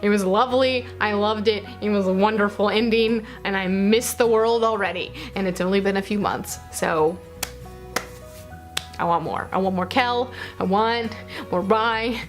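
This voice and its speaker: A woman's voice: mid-range voice, moderate pace, soft background music. A woman talking casually to camera as a vlogger, informal and effusive, with a self-deprecating streak. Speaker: book vlogger.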